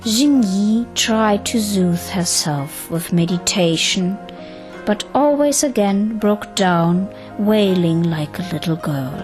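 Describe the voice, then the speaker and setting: Slow-paced woman narrating over music. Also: mid-range voice, expressive. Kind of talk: scripted audio drama storytelling.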